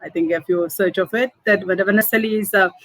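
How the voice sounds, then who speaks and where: A woman's voice: mid-range voice, steady tone, no echo. Manipuri woman in her fifties recalling. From Manipur, India. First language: Hindi and Manipuri. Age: 50s. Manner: halting, many fillers, soft laughs. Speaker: food entrepreneur. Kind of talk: interview answers, personal story.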